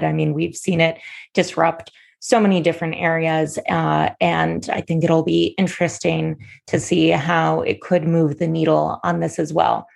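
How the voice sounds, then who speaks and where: A woman moderating a panel discussion who asks questions in a polite, measured way. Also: low voice, creaky, darkish tone.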